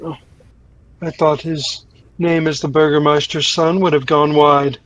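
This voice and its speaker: A man playing a tabletop game in character, speaking in a slow, formal, slightly archaic way. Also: fairly high pitch, level tone, fairly bright tone, no echo, low-quality recording. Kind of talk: in-character roleplay, formal speech.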